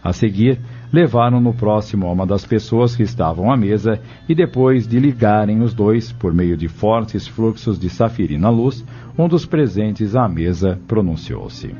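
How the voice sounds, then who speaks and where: Low, mellow male narrator. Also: animated, measured pace, hardly any pauses, soft background music. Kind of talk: scripted audio drama narration. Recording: dull lo-fi audio drama recording.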